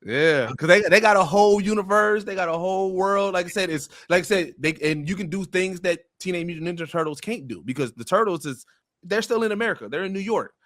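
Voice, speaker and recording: A man with a fairly high voice talks casually as a podcast panelist, recorded very dry. He explains things eagerly and uses relaxed slang. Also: a little fast, no room sound. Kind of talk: casual chat.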